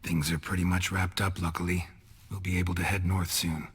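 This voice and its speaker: An actor with an American accent playing a gruff, laconic monster hunter in a video game, dry-witted and affectionate with his lover, in a gravelly voice. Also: flat delivery, a little fast. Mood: warm, understated. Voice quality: gravelly, low. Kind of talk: video game cutscene acting.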